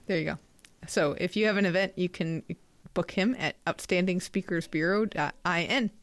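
A woman co-hosting a sports podcast in casual chat, chatty and quick to laugh. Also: lowish voice, no room sound. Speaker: podcast co-host.

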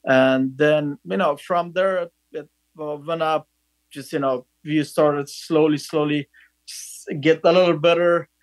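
A man from Afghanistan telling his life story in an interview, halting with many fillers and restarts. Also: on the high side, fairly even intonation, no room sound. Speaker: guest.

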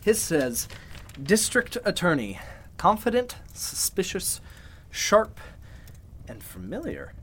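A man's voice: sits high, wildly swooping pitch, slow, pauses often, fairly bright tone, heavily acted. Congressman for vintage-style radio thriller, male actor: arrogant yet capable, uneasy, swinging intonation, some noise behind. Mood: guarded. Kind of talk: golden age radio drama acting.

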